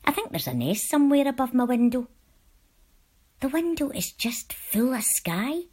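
A woman reading personal letters aloud from a script in a measured, earnest way. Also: high voice, sing-song, a little mellow, breathy edge. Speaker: volunteer reader.

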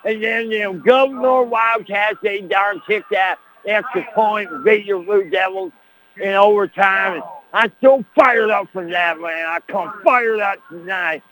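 A man's voice: high-pitched, a bit bright, slight background noise, low-quality recording. A man voicing a boastful rural good-old-boy cousin who rambles folksily on a radio sports broadcast, peppering every phrase with "man" and "baby". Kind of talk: comic character bit on a sports broadcast.

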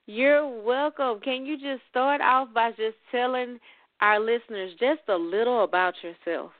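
A woman's voice: a little high, unhurried. A woman hosting a radio author interview, friendly and welcoming. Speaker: radio show host. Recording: dry tinny phone line.

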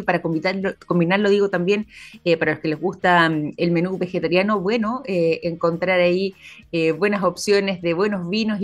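A woman with a Chilean accent hosting a relaxed morning interview, chatty and full of filler pauses. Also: on the low side. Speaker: host.

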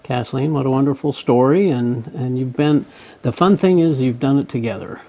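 A man hosting an interview, soft-spoken and affirming, often chiming in with agreement. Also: mid-range voice, normal speed, low-quality recording. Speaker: host. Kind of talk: casual interview chat.

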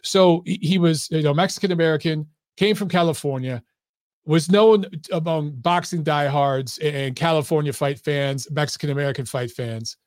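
A hoarse man hosting a casual livestream about boxing, talking loosely with slang and lots of fillers. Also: fairly high pitch, moderate pace, a little mellow, no echo, studio quality. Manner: chatty, loose, slangy, with many fillers and restarts. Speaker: boxing commentary channel host.

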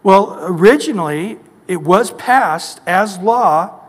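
A man's voice: high-pitched, animated, slow, big-room sound. A male pastor preaching a sermon emphatically, asking the congregation rhetorical questions. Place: church.

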